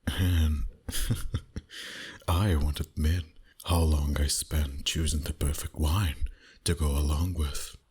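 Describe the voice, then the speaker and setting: A man playing a suave, dominant, flirty vampire boss in an intimate ASMR roleplay, breathy and often near a whisper, in a teasing manner. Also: a bass voice, steady tone, takes it slowly, pauses often, a little mellow, heavily acted. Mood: tender, courteous. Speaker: voice actor.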